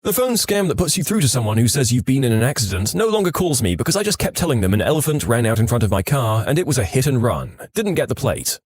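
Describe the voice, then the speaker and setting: A man reading online stories as a scripted podcast narration, with an animated storytelling delivery, close on the microphone. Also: medium pitch, fast, few pauses, no room sound.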